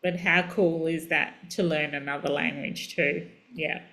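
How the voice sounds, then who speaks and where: A woman whose first language is Italian, a podcast guest chatting casually, trailing off and restarting with soft laughs. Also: sits low, fairly even intonation, unhurried. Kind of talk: casual conversation.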